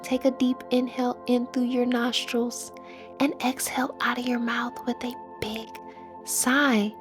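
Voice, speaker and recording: A woman leading a guided meditation in a soothing manner, with phrases that trail off into a breathy near-whisper. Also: a little high. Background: music.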